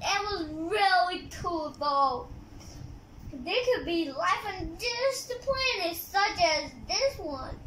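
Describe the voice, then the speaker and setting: Young child, book read-aloud host, slow pace, excited asides, faint background noise. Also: extremely high pitch, roomy sound, poor audio. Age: child, about six to eight. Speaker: young host of a kids' book review channel. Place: small room indoors.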